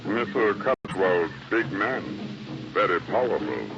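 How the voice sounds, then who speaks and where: An actor playing a giant, solemn manservant who speaks terse broken English in an old radio drama. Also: male voice, lowish voice, animated, slow. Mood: grave. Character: giant hulking Haitian manservant, solemn and loyal. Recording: muffled, very noisy old radio recording.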